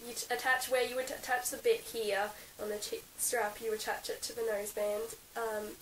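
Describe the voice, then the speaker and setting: A young Australian woman with a croaky, under-the-weather voice chats through her favourite gear, full of giggles, ums and likes. She sits a little back from the mic in an echoey room, with a faint hiss running underneath. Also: on the high side, little pitch movement, moderate pace, fairly bright tone. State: croaky from being sick. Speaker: equestrian vlogger. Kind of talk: casual show-and-tell vlog. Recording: roomy, slightly distant with hiss.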